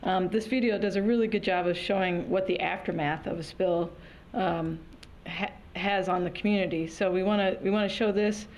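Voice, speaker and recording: A woman moderating an event, introducing the next speaker in a halting way with many fillers. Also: fairly low pitch, steady tone, a little mellow, rough recording.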